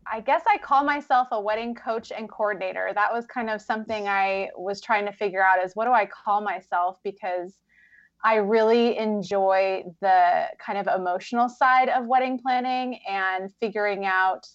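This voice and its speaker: Casting note: woman, thirties, Pacific Northwest-raised, relaxed guest with many fillers, somewhat dull lo-fi sound. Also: mid-range voice, moderate pace. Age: 30s. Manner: warm, chatty, frequent fillers and laughs. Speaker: guest, wedding coach. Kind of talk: casual podcast chat.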